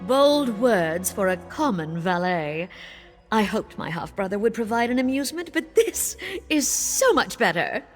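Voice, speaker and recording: A woman's voice: medium pitch, sing-song, a little slow. A haughty, mocking queen for a fantasy game. Her voice moves expressively through drawling sarcasm and cool cruelty. Faint music plays behind her. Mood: amused, menacing. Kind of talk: fantasy video game acting.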